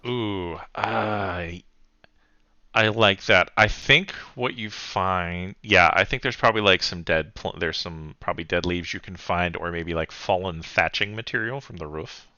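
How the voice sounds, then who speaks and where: A man's voice: deep voice, a little slow, bright, forceful tone, no echo, muffled recording. A man improvising casual narration and rulings as the game master of a tabletop roleplaying game.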